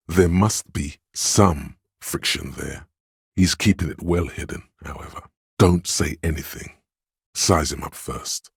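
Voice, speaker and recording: An actor playing a stern, commanding inner voice of authority in a video game, speaking in a grave, imperious, theatrical way. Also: male voice, rumbling low, a little mellow, husky, dry recording, clean studio sound, heavily acted. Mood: measured, wary. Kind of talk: dramatic scripted game narration.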